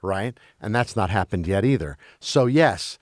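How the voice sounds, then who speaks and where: A man who grew up in Belgium talks as an interview guest in a loose, conversational way. He stumbles and restarts often, circling back over his words in a lowish voice. The recording is dry, with almost no room sound. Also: expressive, a little slow, no echo. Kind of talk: casual conversation.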